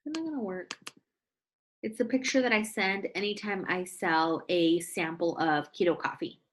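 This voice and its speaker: A young woman with a fairly low voice runs a casual training call for her team. She talks in a chatty, upbeat way and keeps addressing her listeners directly. Her voice comes through a video call. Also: moderate pace. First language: English. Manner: chatty and upbeat, often saying "you guys". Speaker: team leader training sales distributors.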